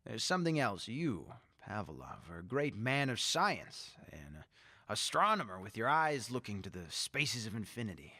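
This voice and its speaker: Gloating, swooping megalomaniac dictator. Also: male voice, lowish voice, very animated, sharp tone, heavily acted. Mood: silky, probing. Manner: theatrical, taunting, drawn-out emphasis. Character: megalomaniac male dictator, gloating, mockingly courteous, murderous. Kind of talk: radio drama acting.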